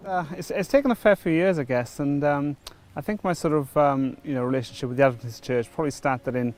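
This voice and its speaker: A man's voice: fairly high pitch, fast talker, a little mellow. A middle-aged Welsh man from Wales, giving a personal testimony before his baptism, hesitant with many fillers and restarts. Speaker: church member before baptism. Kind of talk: testimony interview.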